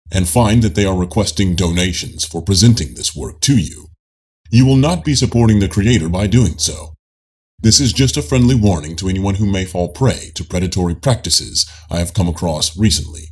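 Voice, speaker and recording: A man reading a scripted nonfiction audiobook in a measured, formal manner. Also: low-pitched, expressive, husky. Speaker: audiobook narrator.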